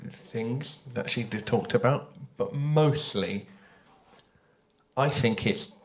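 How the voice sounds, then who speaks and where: A man of medium pitch speaks as a writer on a live discussion panel. He is thoughtful and unscripted, pausing and restarting as he works through his ideas into a microphone. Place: live panel at a games festival. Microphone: handheld or shared panel microphone.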